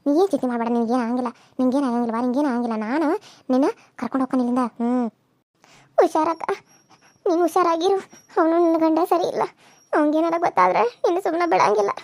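A woman playing a very high, panicky village woman. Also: steady tone, dry recording. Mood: fretful. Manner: wailing, pleading, fast. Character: frightened village women pleading with a bully.

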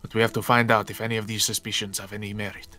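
A man's voice: on the low side, level tone, rapid-fire, pushed and bright, faint music behind. A man playing an anxious, suspicious guild mentor in a game roleplay, with hesitant, formal, theatrical phrasing. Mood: suspicious, urgent. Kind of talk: improvised Minecraft roleplay acting.

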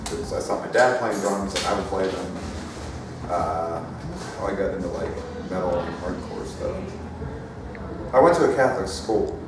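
A man from a band telling stories in an interview, animated and full of fillers. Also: low voice, moderate pace, long pauses. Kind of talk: casual storytelling in an interview. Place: backstage lounge of a music venue. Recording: echoey room from far off, noisy.

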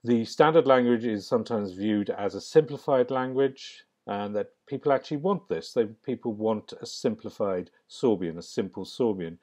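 A man delivers a slide lecture in a low, mellow voice. He speaks in a measured, academic way and often pauses with an um. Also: lively intonation. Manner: measured, academic, with frequent ums. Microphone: voice-over on slides.

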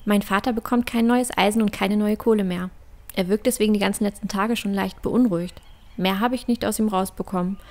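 A woman's voice: mid-range voice, fairly even intonation, brisk, a little mellow, lightly acted. A woman playing a cheeky, adventurous, optimistic girl in a fantasy audio drama. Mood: matter-of-fact.

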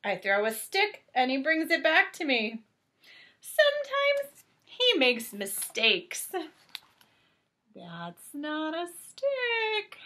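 High-pitched, animated woman reading a storybook. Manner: expressive, deliberate, drawn-out emphasis. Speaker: teacher reading aloud to children. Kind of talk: animated children's picture book read-aloud. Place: small home bedroom, indoors.

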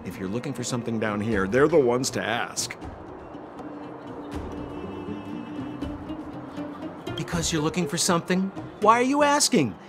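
A man's voice: mid-range voice, big pitch swings, fairly quick, over music, close to an everyday voice. A man voicing a shifty, glib young con man in a video game, theatrical and full of exaggerated salesman patter. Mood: wheedling, ingratiating.

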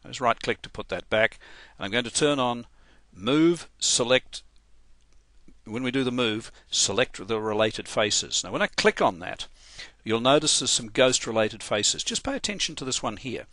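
Halting Australian man, bright dry tone. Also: medium pitch, expressive, no echo. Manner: casual, halting, many fillers and restarts. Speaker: software trainer. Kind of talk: screen-recorded software tutorial.

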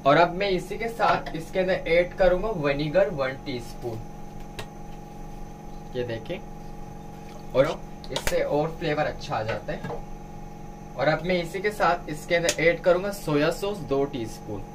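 Man, home cook, slightly high voice, instructive recipe narration, some background noise. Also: unhurried, long pauses. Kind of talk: cooking tutorial voice-over, step-by-step narration.

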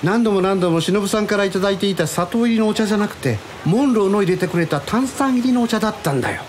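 A man's voice: high voice, animated, fast, slight background noise. An actor playing an easygoing, wistful man in a radio drama, speaking casually and reflectively. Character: easygoing, wistful man confiding about lost love. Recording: music behind the voice.

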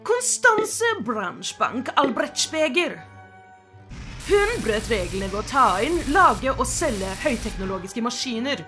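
En kvinne som spiller en streng, formell og bebreidende eldre hekserektor i en animert tegneseriedubbing. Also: female voice, a little high, sing-song, bright, forceful tone. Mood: stern. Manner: formal, authoritative, reproachful. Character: stern, scolding older witch headmistress. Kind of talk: animated cartoon fandub. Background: music.

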